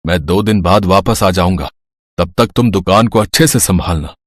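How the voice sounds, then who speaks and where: A man voicing a worried, ageing village barber and father who admonishes in a Hindi animated story. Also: deep voice, moderate pace, no echo, studio quality. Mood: advising. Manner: admonishing, exasperated.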